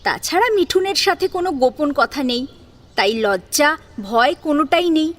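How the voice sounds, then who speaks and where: A woman's voice: high voice, sing-song, moderate pace. A woman reading a dramatic audio story as its narrator. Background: background music.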